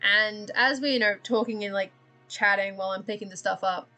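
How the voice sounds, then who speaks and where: A woman's voice: mid-range voice, fairly even intonation, fairly quick, bright, forceful tone, faint music behind. A young woman from Australia with a slightly American-drifting Australian accent, chatting casually and rambling with self-deprecating laughs while drawing on a live stream.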